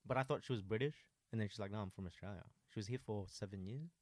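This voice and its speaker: A man's voice: fairly low pitch, fast talker, darkish tone, no room sound, studio quality. A man chatting casually with friends on a podcast, playful and teasing. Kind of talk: casual chat among friends.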